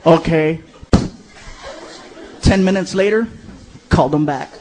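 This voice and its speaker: A man's voice: extremely high pitch, animated, normal speed. A man telling a slangy stand-up story, acting out dialogue and laughing as he talks. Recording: noisy live comedy show audio.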